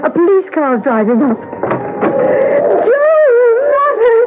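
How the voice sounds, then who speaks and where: An actress playing an anxious, emotional young wife in a 1980s radio drama. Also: female voice, very high voice, huge pitch swings, slow, heavy background noise, heavily acted. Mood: overjoyed. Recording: tinny old radio broadcast, music behind.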